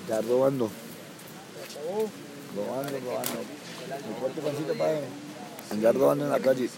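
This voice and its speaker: A man, answering street interview questions in short, halting, repetitive phrases. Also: mid-range voice, fairly even intonation, vocal fry, poor audio. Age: 30s. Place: city park outdoors. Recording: outdoor crowd noise. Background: street crowd and traffic.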